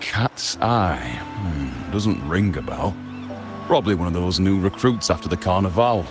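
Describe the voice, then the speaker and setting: A blunt, suspicious family henchman from a video game. His voice is deep and moves in lively swings, with a gruff, dismissive edge. Music is clearly audible underneath. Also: unhurried. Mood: wary, dismissive. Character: wary, blunt male henchman of a crime family. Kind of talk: scripted video game character dialogue. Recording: music behind the voice.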